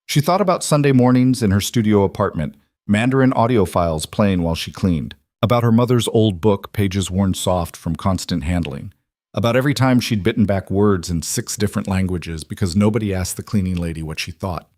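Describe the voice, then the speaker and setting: A man narrating a dramatic story in a steady, scripted storytelling manner. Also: fairly low pitch, a little fast, no echo, studio quality.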